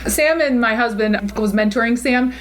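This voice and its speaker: A woman's voice: fairly high pitch, normal speed. A middle-aged woman interviewing a guest in a reflective, conversational way, laughing often as she talks.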